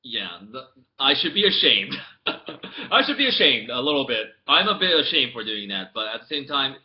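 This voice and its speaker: A young man from the United States, chatting casually to his camera as a vlogger. He tells his story in a rambling way with many restarts, and it swings from mumbled asides to loud outbursts. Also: medium pitch, sing-song, moderate pace, highs cut off. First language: English. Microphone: laptop webcam mic.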